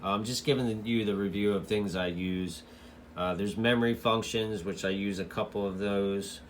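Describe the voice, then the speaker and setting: A man's voice: on the low side, fairly even intonation, normal speed, slight background noise. A man giving a casual, loosely explained product walkthrough as a radio hobbyist reviewer, in an informal, rambling way.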